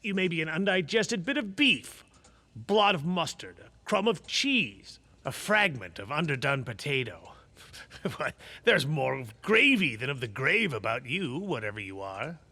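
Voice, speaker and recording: An actor playing a crotchety, sceptical old miser in audio theatre, querulous and theatrical. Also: male voice, on the high side, big pitch swings, a little slow, bright, forceful tone, exaggerated voice. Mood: scoffing.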